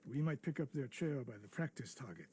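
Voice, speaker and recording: A man's voice: medium pitch, sing-song, fairly quick, a little hiss or hum, lightly acted. An actor playing a stern, curt older archery master in a game cutscene, scolding and commanding his student. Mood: gruff, commanding. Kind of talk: video game cutscene acting. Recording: game audio with loud music behind.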